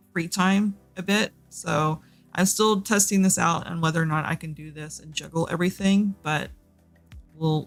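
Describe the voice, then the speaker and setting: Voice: medium pitch, fairly bright tone. A streamer from Texas with a Southern accent, chatting casually and rambling with many fillers while hosting a reading sprint. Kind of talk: casual livestream chat. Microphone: desk microphone, headset off.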